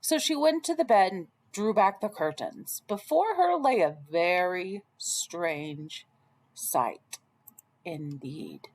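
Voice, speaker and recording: A woman narrates a children's tale in an expressive read-aloud style. She takes her time with each phrase and moves her pitch through wide, animated swings. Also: slow, low-quality recording. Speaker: storyteller.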